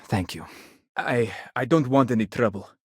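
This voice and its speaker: An actor playing a wealthy, stubborn, indignant father in a video game, speaking in a formal, exasperated way with a husky voice. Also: mid-range voice, lively intonation, normal speed, a little mellow, no room sound, studio quality. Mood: conciliatory. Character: wealthy, indignant, stubborn father hunting his runaway daughter.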